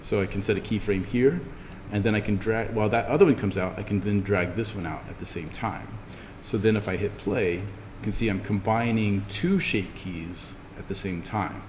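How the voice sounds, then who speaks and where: A man with a fairly low voice leads a software tutorial in class. He explains informally, one step at a time, as he demonstrates. The room is reverberant, with faint noise behind him. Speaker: instructor.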